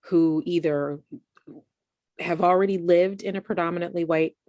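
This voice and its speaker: A woman's voice: on the low side, steady tone, normal speed, no room sound. A woman in her late forties hosting a podcast interview, chatting casually and backing up her guest with frequent agreement. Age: late 40s. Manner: warm backchanneling, laughs often. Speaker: podcast host.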